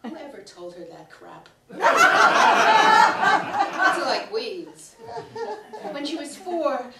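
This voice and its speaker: A sixty-five-year-old woman, delivering a theatrical comic monologue on stage in a dramatic, exasperated, halting manner. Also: a little high. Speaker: storyteller performing a comic monologue. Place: small indoor stage before an audience. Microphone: microphone on a stand. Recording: roomy live stage, distant, audience laughing. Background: audience laughter.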